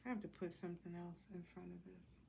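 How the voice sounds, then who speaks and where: A woman's voice: on the low side, level tone, fast talker, gravelly, a little mellow, slight background noise. A woman giving a casual, improvised tarot reading, talking colloquially to herself and to the cards as she goes. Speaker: tarot reader hosting a collective reading.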